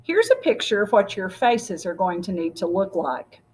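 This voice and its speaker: A woman's voice: medium pitch, big pitch swings, moderate pace, darkish tone, a little hiss or hum, lightly acted. A woman voicing a devoted older nun in a storybook, a kindly, bossy aunt who instructs and fusses. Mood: instructing. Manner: instructing, fussing. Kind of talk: storybook character dialogue.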